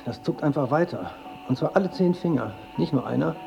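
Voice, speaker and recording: An actor playing a nervous hypochondriac office worker who rambles and hesitates, in naturalistic radio play style. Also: male voice, fairly high pitch, animated, brisk, creaky, over music. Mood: anxious rambling. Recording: slightly muffled with faint background noise.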